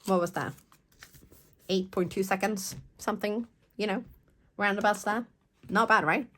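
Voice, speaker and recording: Croaky, chatty woman with a cold. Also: medium pitch, a little slow, fairly bright tone. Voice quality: slightly croaky, congested. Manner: playful, rambling, self-interrupting asides. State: recovering from a cold, sniffly. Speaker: craft tutorial host. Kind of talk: casual, chatty craft demonstration.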